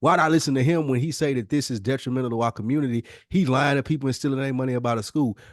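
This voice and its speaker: A man's voice: medium pitch, very fast, dry recording, clean studio sound. A man giving his opinions in an emphatic, slangy and profane casual conversation, often repeating phrases to drive a point home. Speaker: podcast speaker.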